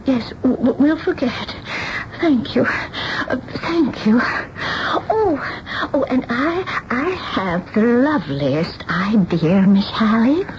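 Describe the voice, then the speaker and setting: An actress playing a timid, dreamy, aging seamstress in a radio drama, stammering and pleading in a fluttery, halting way. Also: female voice, on the high side, expressive. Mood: dreamy, delighted. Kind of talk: vintage radio drama acting. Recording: muffled old radio broadcast with hiss.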